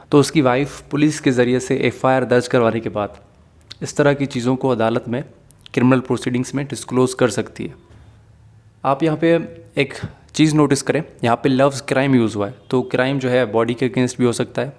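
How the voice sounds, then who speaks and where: Man, Pakistani accent, mid-pitched voice, didactic, methodical, explanatory lecture style. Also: a little mellow. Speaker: law lecturer. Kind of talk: explanatory lesson mixing Urdu and English.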